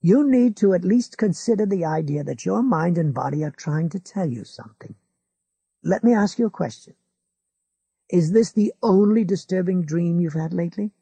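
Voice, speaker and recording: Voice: mid-range voice, expressive, normal speed, gravelly, warm tone, slightly rough, no room sound, voice pushed up. A reader voicing McGovern, a pushy older man lecturing his friend about his health. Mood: insistent. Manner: insistent, lecturing. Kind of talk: audiobook character voice.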